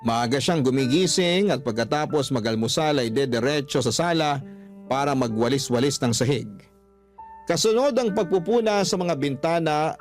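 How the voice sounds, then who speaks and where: A man reads a first-person drama in the style of a radio storyteller. His voice is mid-pitched, and faint music plays underneath.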